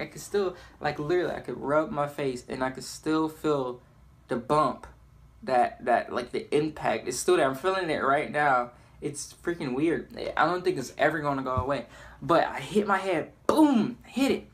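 A young man talks in an informal, rambling way, like a gamer telling stories to viewers. His delivery is casual and loose, with animated bits when he acts things out. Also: on the high side. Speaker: YouTube gamer and storyteller. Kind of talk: casual storytelling over gameplay.

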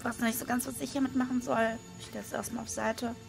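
A woman with a hoarse voice, casually commenting as she unboxes trading cards at a desk. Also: fairly high pitch, quick pace, over music. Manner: relaxed, with frequent hesitation fillers. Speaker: card unboxing video host. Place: indoors at a desk, hands over table. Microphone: small camera-mounted microphone.

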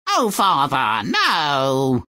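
A woman's voice: low-pitched, huge pitch swings, drawn-out words, bright, forceful tone, no room sound, full character voice. A woman voicing a tiny, put-upon fairy-tale hero in a video game, droll and complaining. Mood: pleading, earnest. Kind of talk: video game character acting.

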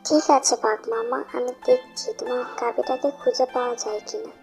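A woman's voice: a little high, steady tone, fairly quick, a little mellow, close to an everyday voice. A woman voicing an anxious, hard-working animal mother who sells fruit in a fairy-tale cartoon. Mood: helpful. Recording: dull lo-fi audio with music behind.

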